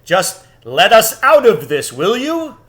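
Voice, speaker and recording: A man voicing a great, kingly lion in a storybook, bellowing bold commands in drawn-out words. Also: high voice, huge pitch swings, a bit bright, pitched above the performer's own voice, full character voice.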